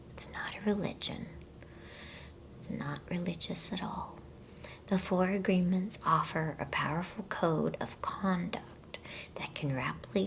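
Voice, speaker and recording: A woman whispering softly in a soothing, unhurried way, as an ASMR host reading bedtime stories and chatting to listeners. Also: lowish voice, slight background noise. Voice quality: breathy whisper.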